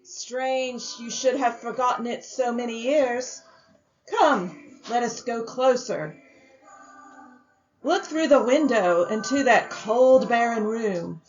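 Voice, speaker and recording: A woman playing a solemn, commanding, probing spirit in a radio drama, speaking with deliberate gravity. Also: fairly high pitch, muffled recording. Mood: probing, grave. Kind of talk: family radio drama acting.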